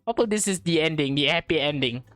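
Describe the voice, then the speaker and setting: A woman with a slightly low voice narrates a visual novel for a playthrough. She moves between read-aloud story text and informal reactions, with music playing underneath. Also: lively intonation, racing. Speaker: let's play streamer reading and reacting to a visual novel. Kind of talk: read-aloud narration with casual live commentary.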